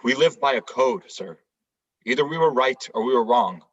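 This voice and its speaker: Distant, husky man as a stoic Marine corporal. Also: mid-range voice, animated, normal speed. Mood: respectful, resolute. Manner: clipped, formal military deference. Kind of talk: stage play read-through. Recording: distant, slightly dull microphone.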